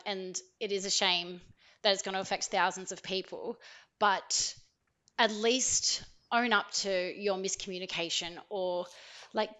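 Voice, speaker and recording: Bright-voiced woman chatting. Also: medium pitch. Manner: chatty, laughs often, many ums and likes. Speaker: podcast guest. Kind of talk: casual podcast chat.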